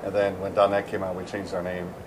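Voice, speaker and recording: A man's voice: low-pitched, monotone, fairly quick, noisy background. A middle-aged American man from San Diego, chatting casually at a conference as an interviewee, rambling with many fillers and restarts. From San Diego, USA. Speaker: interviewee, software engineer. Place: busy conference venue.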